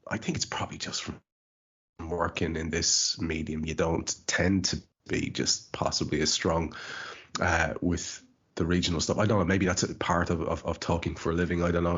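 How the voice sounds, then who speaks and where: Low husky irish guy chatting on a podcast, stumbles on words, dull audio. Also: steady tone, normal speed, a bit bright. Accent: Irish, mild. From Ireland. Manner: stammers on repeated words. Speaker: podcast host. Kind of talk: casual chat.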